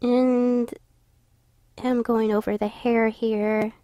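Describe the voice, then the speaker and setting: A woman's voice: a little high, little pitch movement, extremely slow, mellow, no room sound, studio quality. A young woman with a tired, stuffy voice, casually narrating her drawing with lots of fillers and restarts. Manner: rambling, many fillers and restarts. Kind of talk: casual voice-over commentary.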